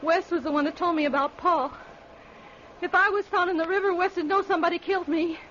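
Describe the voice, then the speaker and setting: A woman's voice: very high voice, steady tone, brisk, fairly bright tone. A woman acting in an old-time radio drama as a grieving, defiant country girl with accusing, folksy speech. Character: defiant, grieving backwoods girl, skinny daughter. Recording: muffled, noisy old radio recording.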